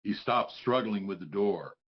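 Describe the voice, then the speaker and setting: A man serves as narrator, reading scripted stage directions. His voice sits on the low side. The audio is a little muffled. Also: expressive, normal speed.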